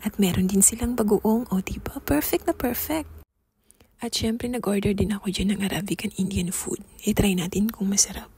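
Young Filipina vlogger, airy breathy whisper-ish narration, mid pitch. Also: female voice, moderate pace, no room sound. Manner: soft, half-whispered narration. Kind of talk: voice-over travel and food vlog.

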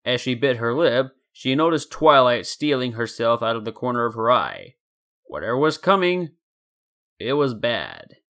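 A man's voice: mid-range voice, big pitch swings, moderate pace, fairly bright tone. A man narrating a scripted story in a dramatic reading style. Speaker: narrator and fan fiction reader.

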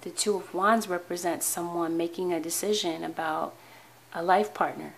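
A woman reading tarot cards for her viewers in a calm, earnest way, her voice hoarse and tired, often restarting her words. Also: fairly low pitch, moderate pace, a little hiss or hum, low-quality recording. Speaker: tarot reader. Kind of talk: weekly tarot reading to camera. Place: indoor tabletop with cards, voice off camera.

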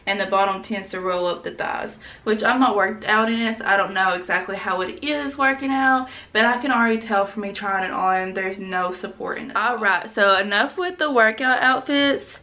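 A woman's voice: fairly high pitch, pushed and bright, recorded from a distance. Chatty young woman, a bit off mic. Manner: chatty, frank, peppered with "like" and slang. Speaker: fashion vlogger. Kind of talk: casual try-on haul chat. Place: small room indoors at home.

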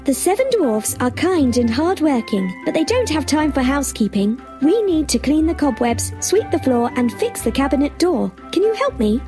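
A woman's voice: high-pitched, very animated, normal speed, hardly any pauses, mellow, faint music behind. A woman playing a sweet, cheerful young princess in a children's storybook game, encouraging the listener. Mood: cheerful, gentle.

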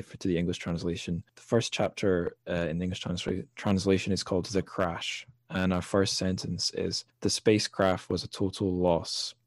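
Male podcast host, deep voice, dry close sound, thoughtful, hesitant, casual book chat. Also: dry recording. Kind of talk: casual literary discussion.

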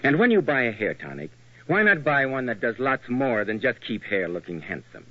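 A man's voice: mid-range voice, very animated, moderate pace. An American man announcing and reading sponsor copy in the polished style of 1940s radio. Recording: muffled old radio broadcast.

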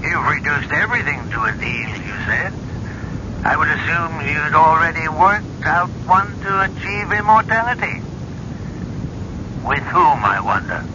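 Radio play role: elderly cardinal, measured, persistent, wryly needling, on a dull vintage broadcast. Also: male voice, expressive. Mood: genial, needling. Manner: measured, rhetorical, gently needling. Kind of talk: radio drama acting. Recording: muffled vintage radio broadcast, noisy rumble.

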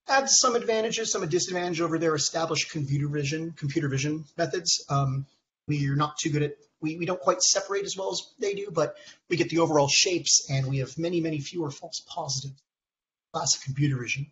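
A man in his thirties, hoarse from a cold, presenting research slides over a video call in a halting way, with many ums and restarts. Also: a little high, fairly even intonation, fairly bright tone, a little hiss or hum. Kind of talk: technical conference talk. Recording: dull lo-fi call audio.

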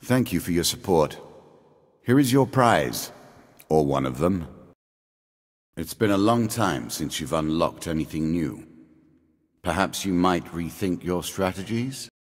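An actor playing an ancient, immortal dealer in a fantasy card game, speaking in a theatrical, measured, ominous and condescending way. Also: male voice, sits low, lively intonation. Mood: measured, wry. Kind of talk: scripted fantasy game character lines. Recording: long reverb on the voice.

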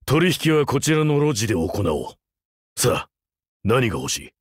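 A male actor playing a rugged older vampire hunter turned teacher in a game, wry, laconic and gruffly fatherly. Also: mid-range voice, big pitch swings, normal speed, no echo, clean studio sound. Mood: wry, evasive. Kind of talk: Japanese mobile game character voice lines.

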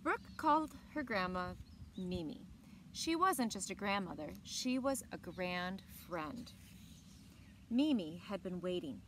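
A woman in her thirties reading aloud to children in an expressive storytelling style, with short asides. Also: on the low side, takes it slowly, a little hiss or hum. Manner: expressive, clearly paced reading to young listeners. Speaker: library story time reader. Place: outdoors on a lawn beside evergreen trees. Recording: outdoor ambience with birdsong. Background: birds and outdoor ambience.

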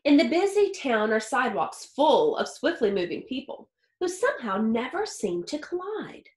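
A woman in her thirties reading a picture book aloud like a teacher, expressively and with deliberate phrasing for young listeners. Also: high voice, big pitch swings, a little mellow. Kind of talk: expressive children's read-aloud. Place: small home office or study with wooden shelves. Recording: roomy, echoey indoor sound.

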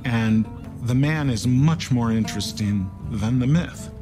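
A man giving opinionated interview commentary in an emphatic, repetitive way. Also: medium pitch. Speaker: documentary interviewee. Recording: music under the voice. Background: guitar music.

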